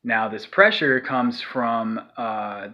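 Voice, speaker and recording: A man's voice: medium pitch, slow, dull audio. A man explaining a vocal technique in a loose, rambling way, with lots of fillers and sighed demonstrations. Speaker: vocal tutorial maker. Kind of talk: casual spoken tutorial.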